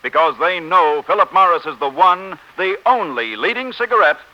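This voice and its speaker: A man's voice: sits high, animated, moderate pace, pushed and bright. A man reading a 1940s radio commercial in an emphatic, newsy way. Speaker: commercial announcer. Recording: muffled 1940s radio broadcast.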